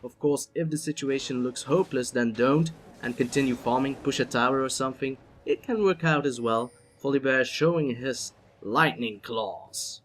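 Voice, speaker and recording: A young man doing solo play-by-play commentary on a video game match, chatty and playful, rising to excited shouts on big plays. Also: mid-range voice, moderate pace, hardly any pauses, slight background noise.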